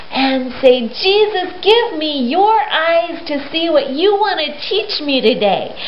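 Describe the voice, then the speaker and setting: A woman retelling a Bible story to young children, speaking simply and emphatically and putting questions to them. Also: high voice. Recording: roomy, dull lo-fi sound with hiss.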